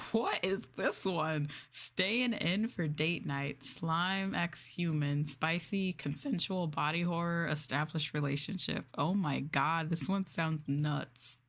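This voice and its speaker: A woman with a slightly low voice talks casually to her livestream audience. She is expressive and giggly, drawing out her exclamations as she hosts. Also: takes it slowly. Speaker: livestream host reading scripts to chat.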